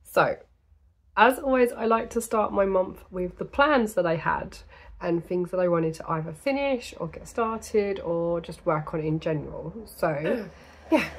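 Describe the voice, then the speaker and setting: A woman in her thirties from the UK, hosting a crafting podcast in a small indoor room. She chats to the camera in a rambling way, full of ums and little laughs, and her voice is going, slightly gravelly. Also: lowish voice, a little slow. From United Kingdom. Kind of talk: casual chat to camera.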